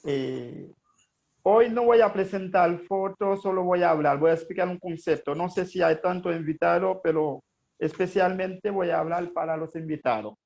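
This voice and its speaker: Haitian man, gravelly voice, pitched high. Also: moderate pace, a little mellow, highs cut off. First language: Haitian Creole. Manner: earnest, hesitant with frequent fillers. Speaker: network marketing presenter. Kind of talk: motivational business presentation.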